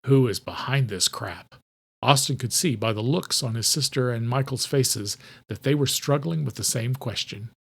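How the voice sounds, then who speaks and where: A man's voice: mid-range voice, moderate pace, vocal fry, hardly any pauses, a bit bright, breathy edge. Narrator: man, mid-range voice, dramatic delivery for thriller fiction. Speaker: audiobook narrator.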